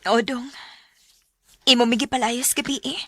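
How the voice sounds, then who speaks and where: A woman acting a mistreated, soft-hearted wife and mother in a radio drama, pleading with a Visayan Filipino accent. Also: fairly high pitch, steady tone, moderate pace, no echo. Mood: worried, coaxing.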